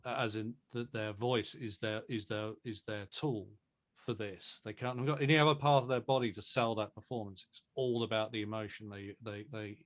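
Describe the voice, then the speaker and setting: A man's voice: fairly low pitch, steady tone, moderate pace, a bit bright. A man talking conversationally as an interviewed producer, repeating words hesitantly as he builds his points. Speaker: audio drama producer being interviewed.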